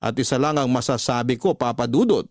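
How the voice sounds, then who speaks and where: A man's voice: mid-range voice, a little fast, no echo, natural voice. Man acting a brash womanizer's dry, close confession. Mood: boastful confiding. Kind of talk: first-person confessional radio drama narration.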